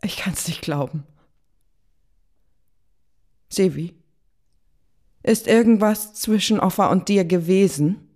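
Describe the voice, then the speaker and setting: A woman's voice: medium pitch, takes it slowly, long pauses, warm tone. An actress in a German radio drama playing a shocked, insistent woman who confronts her confidant in a direct, urgent manner. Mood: shocked, accusing.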